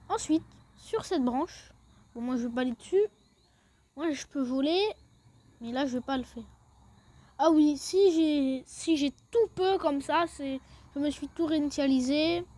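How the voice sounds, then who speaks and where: A woman's voice: sits high, darkish tone. A woman giving a casual, chatty step-by-step game walkthrough, often saying "then" as she moves to the next step. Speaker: gaming video creator.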